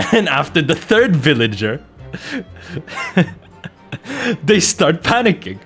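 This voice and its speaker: A man streaming a game, chatting casually and telling anecdotes in an animated, joking way with mock innocence. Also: on the high side, drawn-out words, soft background music. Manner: animated, jokey storytelling with mock-innocent protests. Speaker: streamer playing a video game and host of the channel.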